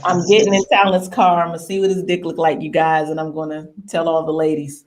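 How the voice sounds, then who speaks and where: A woman's voice: deep voice, level tone, a little fast, noisy background. A woman hosting a talk show in a casual, bawdy style, with playful, slangy and informal delivery. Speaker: radio talk show host.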